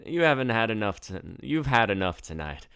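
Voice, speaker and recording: A man's voice: lowish voice, big pitch swings, normal speed, creaky, fairly bright tone, clear, resonant tone, dry recording, studio quality, voice pushed up, exaggerated voice. Man voicing a blunt barfly woman. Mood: sardonic. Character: blunt, sardonic woman bar regular.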